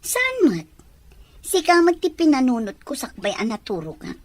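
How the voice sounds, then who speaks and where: A woman's voice: high voice, huge pitch swings, normal speed, exaggerated voice. A woman playing a fretful, uneasy shopkeeper in an audio drama. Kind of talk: amateur audio drama acting.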